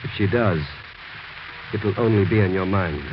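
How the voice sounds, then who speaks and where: Brief: male actor as sober doctor friend, deepish and admonishing, vintage radio play on a noisy old recording. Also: normal speed, dull audio, lightly acted. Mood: concerned. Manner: grave, admonishing. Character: concerned doctor friend, sober, rational, cautioning. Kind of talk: 1940s radio drama acting.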